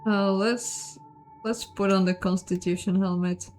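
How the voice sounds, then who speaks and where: Slow-talking woman gaming over music. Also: medium pitch, steady tone, a little mellow. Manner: chatty, self-deprecating, thinking aloud. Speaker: host of a game playthrough, voicing the dialogue. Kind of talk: casual let's play commentary with read-out dialogue. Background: game music.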